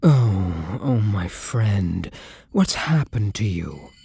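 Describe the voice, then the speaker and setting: A man playing a lonely, gentle vampire in an intimate ASMR roleplay, speaking softly and haltingly with a wistful tenderness. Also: on the low side, very animated, gravelly, slightly rough, full character voice. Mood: concerned, tender.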